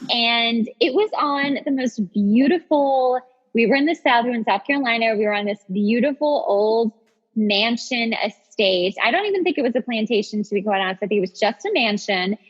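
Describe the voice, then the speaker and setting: Woman, thirties, husky, higher-pitched, animated self-deprecating podcast storyteller, dull reverberant sound. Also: moderate pace. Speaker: podcast host. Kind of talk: casual chat and storytelling. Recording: dull audio with long reverb.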